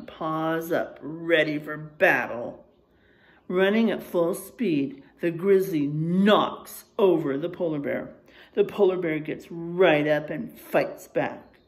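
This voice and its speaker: A middle-aged woman reads to children in an animated teacher's style. Her pitch moves widely and she takes her time over phrases. She speaks encouragingly, addressing her young listeners directly. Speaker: teacher reading aloud to children. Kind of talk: animated children's read-aloud with asides to listeners. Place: small unfinished room or garage with drywall, indoors.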